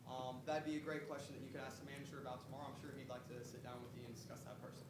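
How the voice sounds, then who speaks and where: A man's voice: mid-range voice, little pitch movement, racing. A man working as a recruiting trainer, talking through a casual sales phone script in an upbeat way and often checking in with short tag questions. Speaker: recruiting trainer making phone screening calls. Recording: roomy, distant mic, noisy background.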